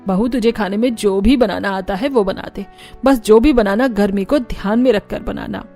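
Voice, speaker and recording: Lady doing older cartoon mother-in-law, mellow dark voice, firm and fair, music underneath. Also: medium pitch, normal speed, close to an everyday voice. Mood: approving, calm. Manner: scolding yet reasonable.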